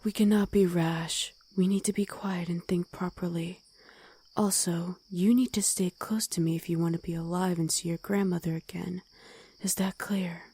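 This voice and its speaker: A woman voicing a gruff, protective wolf girl ex-soldier in an ASMR roleplay, stern and commanding, often in a hush. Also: lowish voice, steady tone, moderate pace. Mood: hushed, urgent.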